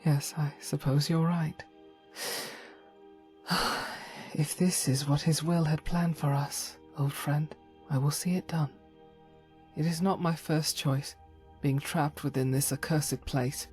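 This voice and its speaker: A woman voicing a devout, weary female warrior of a holy order in a fantasy game, earnest and solemn, with a husky, breathy voice and halting formal phrasing. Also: sits low, steady tone, darkish tone, soft background music. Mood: solemn, determined. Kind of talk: voice acting for fantasy game companion.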